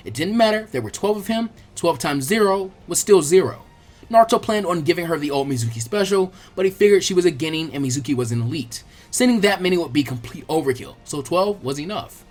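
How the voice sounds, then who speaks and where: A narrator reading an action story aloud as a dramatic, scripted audiobook. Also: medium pitch. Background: background music.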